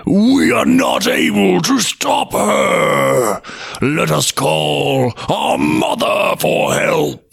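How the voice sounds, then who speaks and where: A man voicing an angry blizzard spirit from a folk tale, shouting and wailing like a thwarted child. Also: fairly high pitch, sing-song, drawn-out words, gravelly, slightly rough, full character voice. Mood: wailing, defeated.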